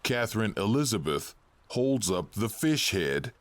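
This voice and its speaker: A man's voice: lowish voice, sing-song, a little slow, dry recording. A man narrating stage directions for a scripted audio drama.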